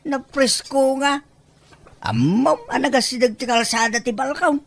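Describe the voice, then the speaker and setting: Woman acting a cautious, high-voiced reproving relative. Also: unhurried, creaky, heavily acted. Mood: reluctant, embarrassed.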